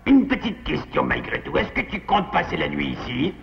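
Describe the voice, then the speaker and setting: Gravelly, pompous cartoon animal rogue. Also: male voice, fairly high pitch, sing-song, normal speed, muffled recording, exaggerated voice. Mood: magnanimous. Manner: pompous, courteous, theatrical. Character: dignified, loyal rogue animal friend, proud and formal. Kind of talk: cartoon dubbing in French.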